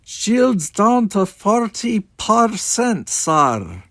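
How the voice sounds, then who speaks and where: A man voicing an excitable starship engineer with a put-on Scottish brogue in a dramatized audiobook. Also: sits high, very slow, no room sound, studio quality, voice pushed up, full character voice. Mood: gleeful. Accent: mock Scottish.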